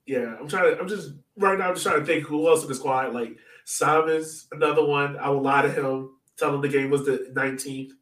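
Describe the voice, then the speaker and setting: A man's voice: on the high side, moderate pace, fairly bright tone. A man co-hosting a sports podcast, chatting casually about soccer in an informal, joking way. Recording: roomy echo, distant from mic.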